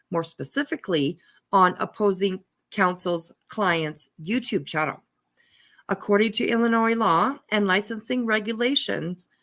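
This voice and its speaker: A woman's voice: mid-range voice, creaky, fairly bright tone. A woman commenting casually and reading documents aloud, irreverent and giggly in manner. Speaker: livestream host.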